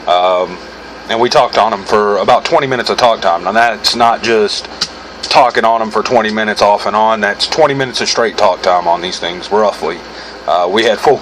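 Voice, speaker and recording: A man's voice: on the low side, a bit bright. A middle-aged American man giving a casual, unscripted product review in an informal, rambling, self-correcting way. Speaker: gear reviewer hosting his own video. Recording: clearly noisy background hum.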